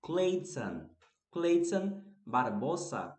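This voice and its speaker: A Brazilian-accented man speaks English as a second language in an unhurried, loose monologue, often backing up to restart a phrase. His voice sits fairly high for a man. The room around him rings with noticeable echo. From northeast Brazil. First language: Portuguese. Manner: relaxed, restarts phrases, laughs at himself. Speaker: YouTuber and English teacher. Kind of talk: casual unscripted monologue to camera. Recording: echoey room.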